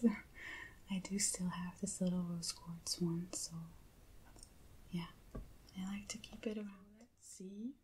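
A young adult woman doing soft-spoken ASMR in a breathy, whispery voice, gentle and unhurried, close to the microphone. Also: fairly low pitch, a little hiss or hum. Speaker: ASMR creator unboxing items. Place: indoors at a table with a backdrop.

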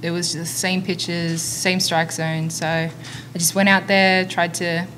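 Young woman pitcher, lowish monotone, plain matter-of-fact answers. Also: slow, a little hiss or hum, poor audio. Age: young adult. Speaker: college softball pitcher. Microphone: table mic.

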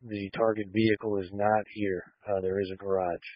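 A man's voice: on the low side, flat delivery, moderate pace, dry recording. A man, a police officer, giving a situation report over a police radio. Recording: muffled police scanner radio audio.

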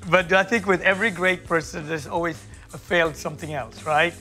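A man's voice: on the high side, fairly even intonation, sharp tone, soft background music. A man in his forties, a guest chef whose first language is Swedish, chatting eagerly in a home kitchen while cooking. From Sweden. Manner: eager, upbeat and quick-talking. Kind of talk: casual chat while cooking.

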